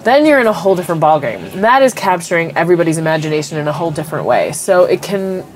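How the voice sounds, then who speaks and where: A woman's voice: lowish voice, very animated, rapid-fire, soft background music. Stuffy-nosed woman explaining tech. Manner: explains with everyday analogies, frequent ums. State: stuffed up from a cold. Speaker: technology company executive guest. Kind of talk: explanatory interview answers.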